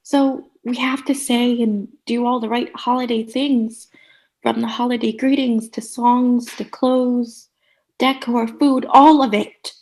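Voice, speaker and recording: A woman's voice: fairly high pitch, fairly even intonation, takes it slowly, a little mellow, poor audio. A woman playing a relentlessly cheery, bossy sitcom character in a comedic radio play, theatrical, gushing and condescending. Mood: gushing, insistent.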